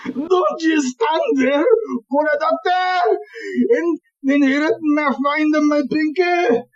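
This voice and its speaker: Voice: high voice, slow, muffled recording. An actor playing a hot-tempered, exasperated police chief inspector, barking and seething in a farcical audio play. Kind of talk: farcical audio drama acting.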